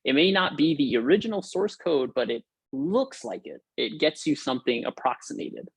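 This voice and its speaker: A man's voice: fairly high pitch, expressive, moderate pace, gravelly, husky, no room sound. A young man from Hawaii giving an upbeat, informal technical talk over a video call, with frequent fillers and restarts. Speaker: guest lecturer. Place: room at home or office.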